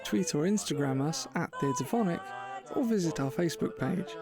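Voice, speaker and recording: A man reads scripted podcast credits. His voice is fairly high and gravelly with fry, and his intonation is animated. Music sits faintly behind him. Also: normal speed.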